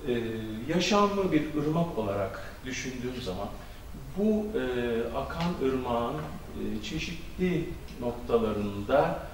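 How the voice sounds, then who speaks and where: A man's voice: medium pitch, sing-song, slight background noise. Middle-aged male doctor lecturing at a symposium, handheld mic, heavy hall echo, mic far off. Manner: measured lecturing with frequent hesitation fillers. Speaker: conference speaker, physician. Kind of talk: academic symposium talk. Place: conference hall. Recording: echoey hall, distant camera audio.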